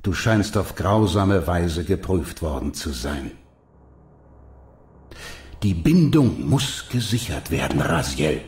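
An actor playing an ancient, noble and wise vampire mentor in a video game, speaking in a solemn, measured, sorrowful way. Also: male voice, sits low, very animated, a little mellow, roomy sound, exaggerated voice. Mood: solemn, earnest. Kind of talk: dramatic scripted game dialogue.

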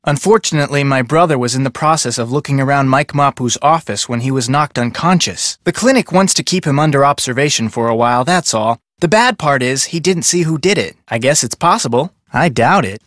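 An actor playing an upbeat, polite but persistent young detective in a video game, with an American accent and a casual, earnest delivery. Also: male voice, a little high, fairly bright tone, no echo, studio quality. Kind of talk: scripted video game dialogue.

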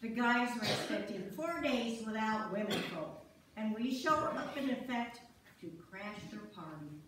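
A woman's voice: on the high side, expressive, measured pace. A woman acting a confident, mischievous wife in an amateur stage play reading. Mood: reassuring. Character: confident, mischievous wife crashing husbands' fishing trip. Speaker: amateur reader's theater performer. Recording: echoey church hall from far off, faint noise.

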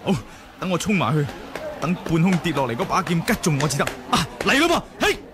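An actor with a Hong Kong Cantonese accent playing a gentle, devoted young male swordsman in a 1980s radio drama. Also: a little high, big pitch swings, brisk. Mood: alarmed. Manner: tender inner monologue, anxious bursts in the duel. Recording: 1980 radio drama with loud music bed.

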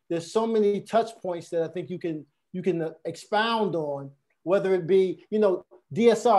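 A man with a notably high voice hosts a relaxed interview over a video call, speaking through an earbud headset from a room at home. His delivery is animated and full of repeats and restarts. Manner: animated, gestures a lot, many restarts and repetitions. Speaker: podcast host.

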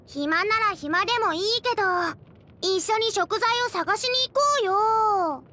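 A voice actress playing a cheerful, eager teenage girl cook in an anime fantasy game, chatty and expressive. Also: very high-pitched, unhurried, full character voice. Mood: casual, helpful. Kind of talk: animated anime game character acting.

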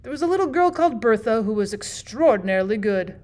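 Lady reading as a blunt bachelor telling a story, dry and deliberate, voice a bit high. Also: animated, a little mellow, close to an everyday voice. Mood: dry storytelling.

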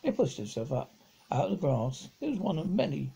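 Husky, halting middle-aged man reading aloud. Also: fairly high pitch, big pitch swings, dark tone. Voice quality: husky, gravelly. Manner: halting, stumbles and rereads words. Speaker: amateur audiobook reader. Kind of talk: reading a children's novel aloud. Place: living room at home, on a sofa.

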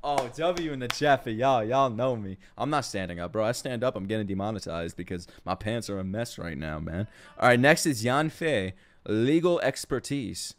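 A man's voice: medium pitch, expressive, normal speed. A man streaming live reactions to game videos, joking and swearing in slangy, exclamatory bursts. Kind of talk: live reaction commentary, crude slangy banter. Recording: music playing behind the voice. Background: game trailer music.

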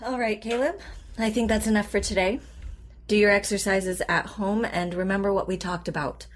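An actress playing a calm, patient therapist in an audio drama, composed and deliberate in her delivery. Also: mid-range voice, a little hiss or hum, close to an everyday voice. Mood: calm, persuasive. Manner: composed, deliberate, clinical, reassuring. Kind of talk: naturalistic audio drama acting.

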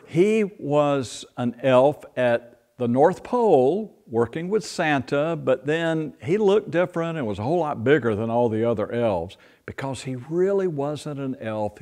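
An older man in his sixties, a pastor who talks slowly and playfully to a group of children. His pitch rises and falls a lot as he explains things. He is recorded by a camera across a church sanctuary. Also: animated, a little mellow. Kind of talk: children's sermon. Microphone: far camera.

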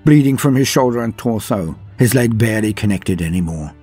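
A man narrating a scripted story in a dramatic, grave, measured manner with pauses for effect, his voice husky. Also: lowish voice, animated, a little mellow. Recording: music behind the voice. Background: background music.

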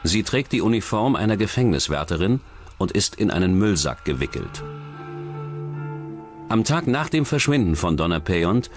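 A man's voice: low voice, very animated, normal speed. A man reading a scripted true-crime documentary narration as its narrator. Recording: music bed under the voice. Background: background music.

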